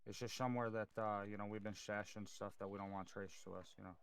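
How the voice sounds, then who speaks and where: A man's voice: on the low side, steady tone, quick pace, clean tone, natural voice. A man playing a streetwise, matter-of-fact mob underling in improvised roleplay, with hesitant, filler-laden phrasing. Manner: hesitant phrasing peppered with "you know". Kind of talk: improvised in-character roleplay dialogue.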